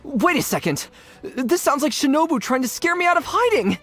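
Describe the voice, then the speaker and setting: An actor playing a cowardly, scatterbrained young gang member in a video game, talking in a rambling, slangy way. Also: male voice, very high voice, sing-song, moderate pace, a bit bright, faint music behind, heavily acted. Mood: anxious. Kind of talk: animated video game voice acting.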